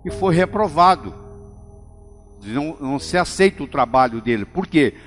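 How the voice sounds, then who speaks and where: An older Brazilian man delivers a motivational talk, stressing key phrases by slowing down on them. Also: a little high, expressive, fairly bright tone. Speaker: motivational speaker. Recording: long hall reverb with faint music. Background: faint background music.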